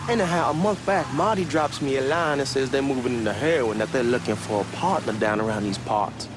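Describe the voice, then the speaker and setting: An actor playing a cocky, talkative young hustler with an American Southern accent in a video game, speaking in loose slang. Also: male voice, medium pitch, fairly quick, natural voice. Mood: eager, defensive. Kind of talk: acted game dialogue, casual banter. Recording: car engine and street noise behind. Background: moving car ambience.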